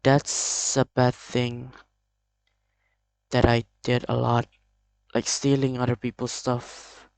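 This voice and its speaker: A young man with an Indonesian accent talks haltingly into the camera, as if admitting something uncomfortable. His voice stays flat and level in pitch, with frequent false starts. The sound is close and dry, with no room around it. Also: creaky, clear, resonant tone, no room sound. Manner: halting, hesitant, many restarts. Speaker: video creator. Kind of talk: confessional vlog.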